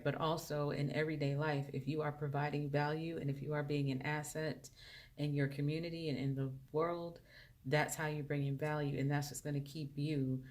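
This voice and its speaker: A woman's voice: low-pitched, a little mellow. A woman life coach hosting a casual video interview, chatty and upbeat.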